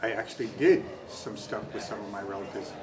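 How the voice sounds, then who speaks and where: A man's voice: on the low side, fairly quick, recorded from a distance, crowd noise. Casual man in his sixties, roomy sound. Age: 60s. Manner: casual, with fillers. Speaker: interviewee. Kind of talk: documentary interview.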